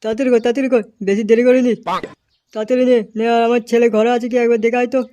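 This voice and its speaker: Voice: mid-range voice, flat delivery, rapid-fire, warm tone, lo-fi sound, lightly acted. A performer voicing a foul-mouthed, hot-tempered gang boss who barks orders in a comedy cartoon dub. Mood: impatient, angry. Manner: brash, swearing, barking orders.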